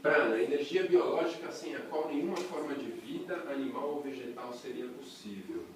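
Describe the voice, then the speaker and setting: A man with a Brazilian Portuguese accent teaching a breathing workshop in a chatty, lively way, throwing rhetorical questions to a small group. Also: mid-range voice, moderate pace, background noise. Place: workshop room with a small audience. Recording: echoey room, voice from a distance.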